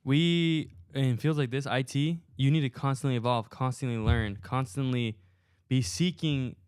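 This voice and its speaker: A man's voice: mid-range voice, measured pace, smooth, no room sound, clean studio sound. Slangy young man hosting a podcast. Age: young adult. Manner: loose and slangy, many fillers. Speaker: podcast host. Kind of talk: casual podcast chat. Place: booked recording studio. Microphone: headset mic.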